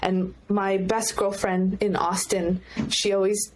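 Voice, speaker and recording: A woman's voice: mid-range voice, level tone, takes it slowly. A woman giving candid, reflective answers as a guest in a casual interview, often qualifying what she says. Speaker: podcast guest, children's book author. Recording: clear background noise, mouth clicks.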